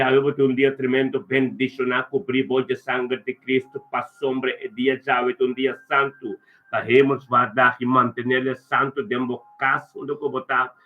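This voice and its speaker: Phone-like recording, man praying. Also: mid-range voice. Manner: repetitive, invocatory, punctuated by refrains. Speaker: prayer leader. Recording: thin narrow phone-like sound.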